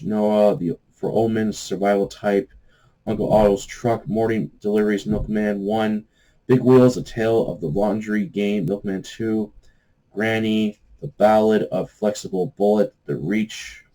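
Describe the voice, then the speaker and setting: A man with a lowish, very mellow, dark-toned voice hosts a book review vlog. He talks casually and off the cuff. His delivery meanders, halting often as he hunts for the next word. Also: fairly even intonation, poor audio. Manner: halting, meandering, searching for words. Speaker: host of a book review channel.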